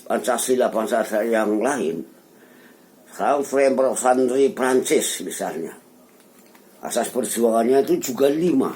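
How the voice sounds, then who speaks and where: A man giving an informal explanatory lecture, didactic and halting as he reads out lists of terms one by one. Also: medium pitch, mellow.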